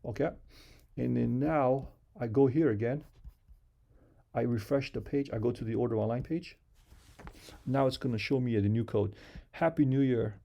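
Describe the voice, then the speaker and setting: A man's voice: medium pitch, normal speed, vocal fry, long pauses, lo-fi sound. A man giving a casual, unscripted screen-share tutorial in simple, repetitive step-by-step instructions. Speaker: software tutorial presenter.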